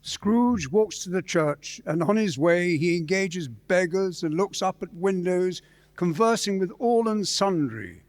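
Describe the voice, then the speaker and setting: A man's voice: sits high, expressive, a little slow. A man narrating a scripted story as part of a staged radio play. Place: live performance in a church.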